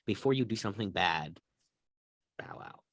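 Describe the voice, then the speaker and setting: A man's voice: fairly low pitch, lively intonation, normal speed, a bit bright, no room sound. A man on a sports show panel, chatting and telling stories casually with a dry, snarky edge. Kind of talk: casual banter and storytelling.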